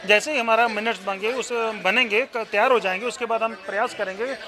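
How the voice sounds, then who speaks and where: A high-voiced man gives formal answers as a councillor, dropping in English terms. A clear crowd can be heard around him. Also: steady tone, fairly quick. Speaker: municipal councillor. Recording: outdoors with crowd chatter.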